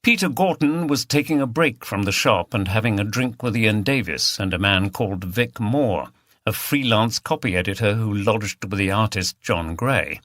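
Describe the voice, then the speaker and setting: A man's voice: fairly low pitch, moderate pace, fairly bright tone, no echo, clean studio sound. A man reading a mystery novel as scripted audiobook narration.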